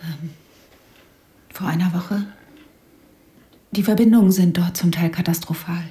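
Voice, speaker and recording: Soft, dark-voiced grieving widow played by an actress. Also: female voice, lowish voice, steady tone, measured pace, natural voice. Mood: subdued, hesitant. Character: polite, subdued, grieving well-to-do widow. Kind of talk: naturalistic radio drama acting.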